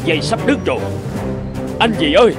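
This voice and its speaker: Casting: earnest man of an old cartoon fable, declamatory, very lively intonation, music underneath. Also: high voice, a little fast. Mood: alarmed, urgent. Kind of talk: animated folk tale dub. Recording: music behind the voice.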